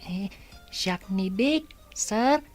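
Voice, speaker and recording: A woman's voice: medium pitch, expressive, drawn-out words. A woman voicing a curious, polite, chatty little boy in an audio drama, with a Filipino accent. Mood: polite, eager. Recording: music under the voice.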